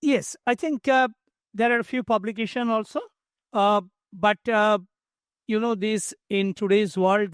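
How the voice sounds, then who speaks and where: A man giving explanatory answers as an expert panelist, halting and repeating words for emphasis. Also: high voice, fairly bright tone, no room sound, clean studio sound.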